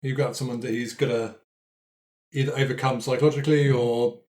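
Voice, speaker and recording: A man's voice: medium pitch, steady tone, a little fast, a little mellow. A man chatting casually as a player in a tabletop game podcast, informal and free with swearing. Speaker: player on an actual-play podcast. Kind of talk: casual chat.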